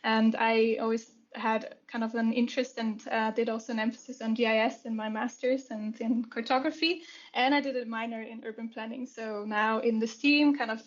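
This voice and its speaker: A young woman from Switzerland chats as a guest on a podcast, her higher voice staying level with little melody. She fills pauses with ums and laughs easily. The audio sounds a bit muffled. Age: young adult. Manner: relaxed, laughs often, frequent ums. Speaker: podcast guest, software and product engineer. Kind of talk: casual podcast conversation.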